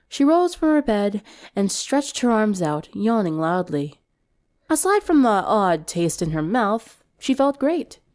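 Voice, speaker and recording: A woman narrator reads a story aloud in a scripted, expressive style. Her voice sits at a middle pitch for a woman and moves up and down in a lively way. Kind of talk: expressive fan fiction reading, scripted narration.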